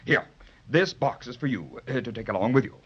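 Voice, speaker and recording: A man's voice: medium pitch, lively intonation, a little fast, a bit bright, slightly rough. A man playing a forgetful, fussy magical official in a 1930s children's radio serial, dithering and repeating himself. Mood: flustered, fussy. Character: dotty, forgetful, fussy male wizardly official. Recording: dull 1930s radio transcription.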